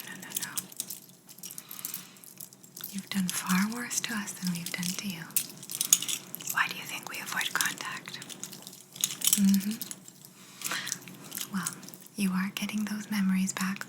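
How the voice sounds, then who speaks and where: Woman playing a slow, whispering alien examiner. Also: medium pitch, close to an everyday voice. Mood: gently teasing. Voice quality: soft, breathy whisper. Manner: slow, soothing, politely clinical with playful condescension. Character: calm, teasing female alien examiner abducting a human. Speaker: ASMR roleplay performer. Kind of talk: whispered ASMR personal-attention roleplay.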